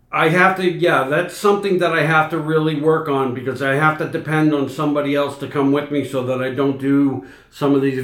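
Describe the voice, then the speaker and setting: Middle-aged Boston-accented stream host, unhurried, rambling, echoey room. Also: male voice, a little high, fairly bright tone. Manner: rambling, many fillers and restarts. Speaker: livestream host. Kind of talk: casual live chat answering viewers.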